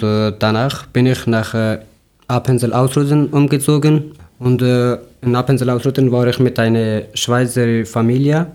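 A man's voice: mid-range voice, a little mellow, clear, resonant tone. A young man from Afghanistan hosting a podcast interview, talking hesitantly with many fillers and restarts. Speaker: podcast host.

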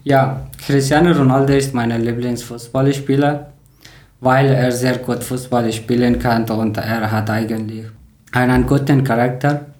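Mann aus Afghanistan als Podcast-Moderator, vorsichtiges Lerner-Deutsch. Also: male voice, medium pitch, fairly even intonation, a little mellow, roomy sound. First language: not German. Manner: careful, learner grammar, slightly halting. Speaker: podcast host. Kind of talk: reading prepared talk in learner German.

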